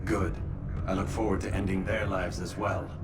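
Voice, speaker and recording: An actor playing a stern, righteous young assassin in a video game, terse and solemn in delivery. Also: male voice, low voice, flat delivery, normal speed, creaky. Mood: cold. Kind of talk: video game dramatic acting. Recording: loud background noise.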